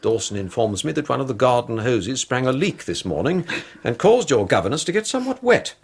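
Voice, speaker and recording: A man's voice: mid-range voice, very animated, creaky, breathy edge, exaggerated voice. An actor playing a stern, pompous middle-aged Victorian father with an English accent and formal manner in a radio drama. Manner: formal, pompous, measured authority. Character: stern, stuffy Victorian father, fretful head of household. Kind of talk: 1990s British radio drama acting.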